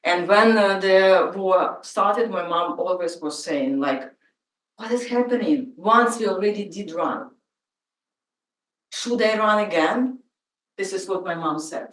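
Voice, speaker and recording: A woman with a Ukrainian accent, a native Russian speaker, giving an informal, halting and personal lecture as an artist. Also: mid-range voice, fairly bright tone. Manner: halting, personal, emotional at times. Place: lecture room. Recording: roomy, from far off.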